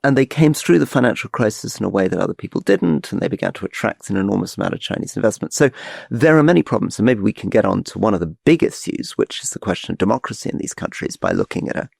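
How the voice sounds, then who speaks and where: A man's voice: on the low side, lively intonation, fast talker, gravelly, darkish tone, no echo, studio quality. A middle-aged man co-hosting a podcast, explaining eagerly and restarting his sentences as he goes. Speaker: podcast co-host. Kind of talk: casual political chat.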